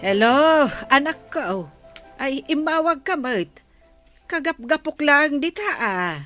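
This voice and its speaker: A woman's voice: high-pitched, expressive, slow, sharp tone, faint music behind, full character voice. A woman playing a proud, skeptical middle-aged village mother in a radio drama, with an Ilocano accent.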